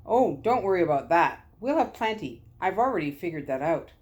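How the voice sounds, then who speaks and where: A woman's voice: on the low side, big pitch swings, moderate pace, a little mellow. Woman putting on a smug, breezy hijacker pilot. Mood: smug, amused. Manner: breezy, confident. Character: smug, self-assured male hijacker pilot.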